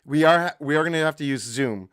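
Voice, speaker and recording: Male co-host, smooth, fairly high voice, dry close sound, halting delivery. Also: fairly bright tone, no room sound. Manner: halting, stumbles and repeats words, laughs easily. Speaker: podcast co-host. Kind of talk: casual live-stream chat.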